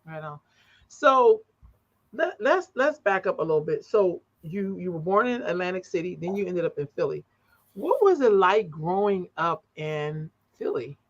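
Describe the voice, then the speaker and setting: A woman's voice: on the low side, big pitch swings, moderate pace. A woman, hosting a casual conversational interview over an online call. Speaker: interview host. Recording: dull, lo-fi online call audio.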